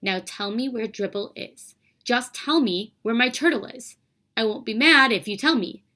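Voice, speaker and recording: Storybook schoolboy, upset and excitable, voiced by a woman reading aloud. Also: a little high, moderate pace. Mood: pleading.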